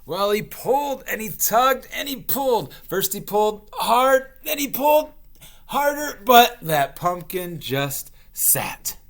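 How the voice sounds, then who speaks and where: Slow, animated man reading to children. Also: high-pitched, creaky. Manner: expressive, stretches words for young listeners. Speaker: teacher reading aloud to young children. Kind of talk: storybook read-aloud with explanations for children.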